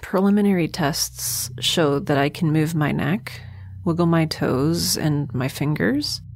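A woman's voice: sits low, animated, a little slow, creaky, darkish tone, exaggerated voice. An actress playing a sarcastic young woman stranded in space, recording an audio log. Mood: wry. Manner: wry, sarcastic. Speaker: narrator of her audio log. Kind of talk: audio drama acting.

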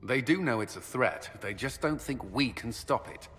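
A man's voice: mid-range voice, normal speed, fairly bright tone, big-room sound, lightly acted. An actor playing an earnest male military commander who speaks firmly and directly.

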